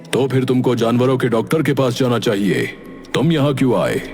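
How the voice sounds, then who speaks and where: A man playing an unhurried cartoon police officer with a wry, questioning manner. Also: medium pitch, animated, a little mellow, slight background noise, lightly acted. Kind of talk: animated cartoon character acting. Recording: music bed under the voice.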